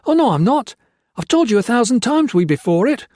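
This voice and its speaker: A man voicing a terrified, cringing young male storeman who babbles and pleads in a high, frantic voice. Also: sing-song, moderate pace, husky, no echo, studio quality, exaggerated voice. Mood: babbling.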